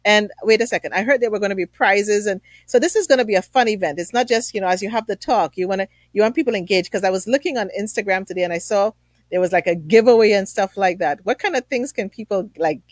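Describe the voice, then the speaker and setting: Woman, talk show host, effusive and affirming, conversational, mid pitch, dry close recording. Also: quick pace, no room sound. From Trinidad. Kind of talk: conversational online interview.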